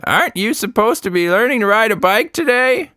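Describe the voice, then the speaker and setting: A man's voice: high-pitched, sing-song, a little fast, sharp tone, dry recording, clean studio sound, pitched above the performer's own voice, heavily acted. A man voicing an upbeat, busy grown woman who asks questions cheerily in a children's story. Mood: cheerful, prodding.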